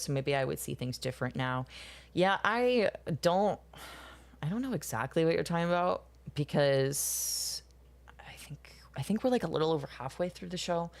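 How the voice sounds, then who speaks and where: A woman's voice: sits low, a little mellow. A woman in her twenties chatting casually with viewers, rambling, swearing and laughing often. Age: 20s. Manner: rambling, profane, laughs often, answers chat. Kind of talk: casual chat while drawing.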